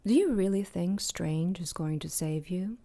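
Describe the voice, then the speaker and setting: A woman's voice: on the low side, unhurried, warm tone. A woman playing an ancient demon queen in an audio drama, imperious, disdainful and threatening. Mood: menacing. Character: ancient demon queen, haughty, menacing mother of monsters.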